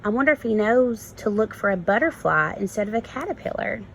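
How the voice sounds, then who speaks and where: A woman kindergarten teacher reading a story to young children, expressive and instructive, prompting them with questions. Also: medium pitch, brisk, slight background noise, poor audio. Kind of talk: read-aloud lesson for young children.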